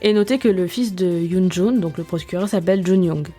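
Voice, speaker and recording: A woman's voice: on the low side, brisk, warm tone, faint music behind. A young woman hosting a podcast, reviewing a series in a casual, self-mocking way with many fillers and restarts. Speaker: podcast host.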